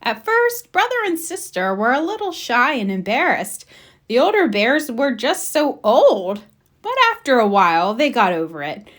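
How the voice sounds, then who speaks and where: Animated woman reading a children's story. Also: fairly high pitch, normal speed. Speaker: storybook reader. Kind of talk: expressive children's read-aloud narration.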